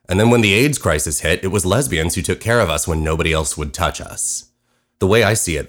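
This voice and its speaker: Deep-voiced man hosting his own podcast. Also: a bit bright. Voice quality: soothing radio voice. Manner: fast-talking, conversational, candid. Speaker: podcast host. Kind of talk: personal podcast narration.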